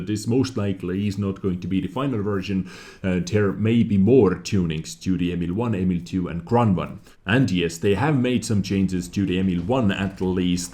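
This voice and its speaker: A man's voice: deep voice, mellow. A man hosting gaming news commentary in an opinionated, emphatic way, repeating phrases for stress. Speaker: gaming news show host. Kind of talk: video game news commentary.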